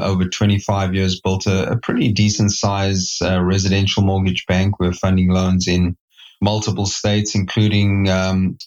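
A middle-aged man from South Africa with a South African accent, a company CEO telling his story as a podcast guest, reflective and halting with many restarts. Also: low-pitched, a little mellow, highs cut off. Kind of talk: podcast interview.

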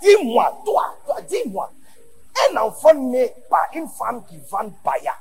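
A man from Ghana with English as his first language, preaching a sermon in French to a large conference, going from quiet asides to shouted emphasis. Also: very high voice, huge pitch swings, slow, vocal fry, breathy edge. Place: large church conference hall.

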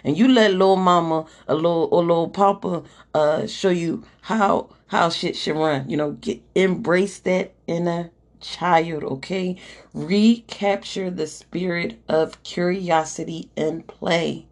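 A hoarse woman who is losing her voice hosts a podcast, reading oracle cards aloud in a chatty, slangy way and checking in with "okay?". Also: lowish voice, steady tone, measured pace. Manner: chatty and slangy, swears, keeps asking "okay?" while reading card texts aloud. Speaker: podcast host and oracle card reader. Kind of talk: casual oracle card reading, live-streamed podcast.

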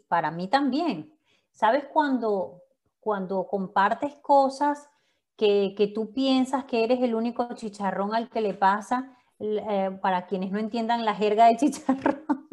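A woman's voice: on the high side, darkish tone. A woman co-hosting a podcast who talks in a casual, reflective way and stops to explain slang. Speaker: podcast co-host.

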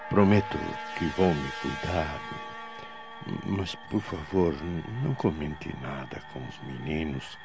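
Very deep-voiced, slow older father. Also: gravelly, full character voice. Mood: weak, earnest. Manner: halting, stammering. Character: kindly ailing father, gentle and persuasive. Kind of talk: radio drama acting. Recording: dull lo-fi radio drama, music behind.

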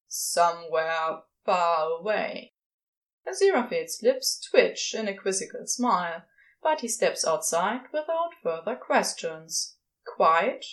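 Slow, expressive woman narrator. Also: a little high, low-quality recording. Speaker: podfic reader. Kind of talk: expressive scripted story narration.

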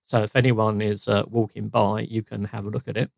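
A man's voice: lowish voice, level tone, a little fast, creaky, no room sound, clean studio sound. A man from England co-hosting a podcast, chatting casually and joking, with fillers along the way. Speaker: podcast co-host. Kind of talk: casual chat.

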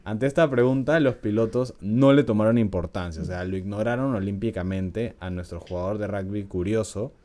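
Low, mellow-voiced man telling a story. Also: normal speed, hardly any pauses. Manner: chatty, laughs often, frequent "¿no?" tags. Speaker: podcast host. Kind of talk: casual storytelling podcast.

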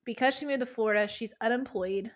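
A woman's voice: fairly high pitch, quick pace. A woman in her twenties co-hosting a podcast in casual true-crime chat, chatty and swearing, with ranting asides. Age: 20s. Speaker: podcast co-host.

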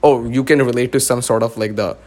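A man's voice: medium pitch, fairly even intonation, darkish tone. A young man hosting a podcast in casual chat, raised in India after a US birth, talking with lots of "like" fillers. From born in the US, raised in India. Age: young adult. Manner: fast, filler-heavy talk with many "like"s. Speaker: podcast host.

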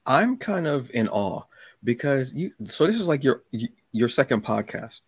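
A man hosting a podcast interview, chatting informally in halting phrases with repeats. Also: medium pitch, sing-song, slightly rough, no echo. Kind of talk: casual chat.

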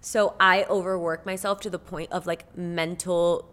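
A woman's voice: on the low side, level tone, normal speed, fairly bright tone. A woman in her twenties from Cuba, a podcast guest talking candidly and earnestly in casual chat, with many filler words. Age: 20s.

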